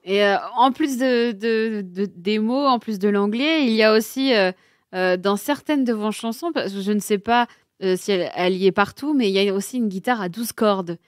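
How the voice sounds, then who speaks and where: A woman radio host asks a musician casual, chatty questions. Her voice sits at an ordinary pitch, and the studio around her is dry and close. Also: normal speed, no echo. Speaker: radio interviewer.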